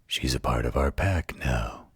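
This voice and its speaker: A man voicing a gentle, patient werewolf in a slow, soothing bedtime roleplay, with a husky growl. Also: animated, no echo, full character voice. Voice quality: very deep gravelly husky growl. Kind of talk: intimate bedtime roleplay audio.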